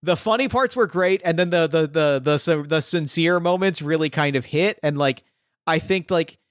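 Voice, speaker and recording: A man's voice: a little high, normal speed, clear, resonant tone, no echo, clean studio sound. A man hosting a tabletop game podcast, chatting casually after play and rambling with lots of filler words. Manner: rambling, heavy use of "like" fillers. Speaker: game master and podcast host. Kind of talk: casual post-game chat.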